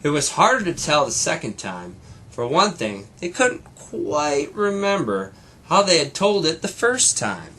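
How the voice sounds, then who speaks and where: A man reading a children's story expressively, pausing to explain and comment for young listeners. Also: a little high, very animated, sharp tone, slightly rough. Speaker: storybook reader for schoolchildren. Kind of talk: expressive children's read-aloud.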